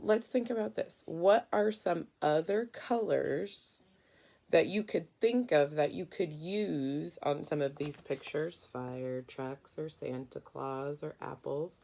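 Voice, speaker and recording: A woman's voice: low-pitched, creaky, mellow. A woman teaching through a storybook read-aloud, guiding children and prompting them with questions.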